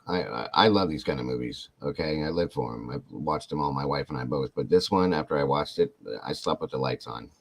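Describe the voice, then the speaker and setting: Male podcast host, deep, loose and animated delivery. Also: a little fast, few pauses, slight background noise. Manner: rambling, animated, talks to chat viewers. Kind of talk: casual podcast chat.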